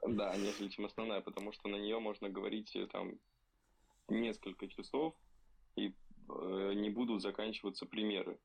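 A young man co-hosting a casual podcast from a remote setup, talking slowly and hesitantly with many fillers while slightly ill. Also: on the low side, level tone, vocal fry, husky, rough recording. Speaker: podcast co-host. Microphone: remote recording.

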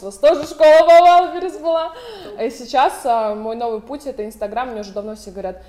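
A chatty woman introduces herself on a talk show, speeding up in bursts. Her voice sits at a middle pitch and rings out in a roomy, echoey studio. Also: warm tone. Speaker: talk show guest, entrepreneur. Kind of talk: casual self-introduction.

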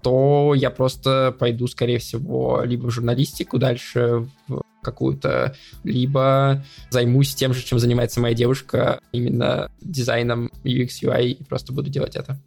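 Gravelly young man, measured. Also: mid-range voice, level tone, darkish tone, soft background music. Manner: measured, explaining at length. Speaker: podcast platform editor-in-chief, guest. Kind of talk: casual podcast conversation.

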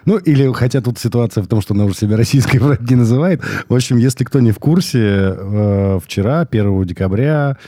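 A man's voice: mid-range voice, a little fast, hardly any pauses, dark tone, no room sound, clean studio sound. A man hosting a podcast in casual, colloquial, worked-up talk, congested with a cold. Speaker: podcast host.

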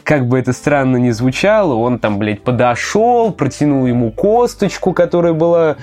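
A man's voice: mid-range voice, expressive, normal speed, darkish tone, faint music behind. A man co-hosting a casual podcast, retelling anime plot points with hesitant fillers and the odd swear. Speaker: podcast co-host. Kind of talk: casual chat about anime.

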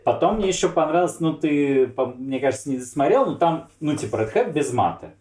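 A man chatting casually, animated and swearing freely as he retells scenes. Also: on the high side, fairly quick. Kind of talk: casual chat. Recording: echoey room.

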